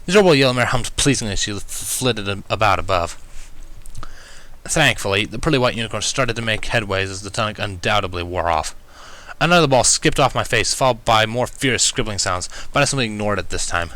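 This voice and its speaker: A man reading fan fiction aloud as an expressive first-person story narrator. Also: fairly low pitch, a little fast.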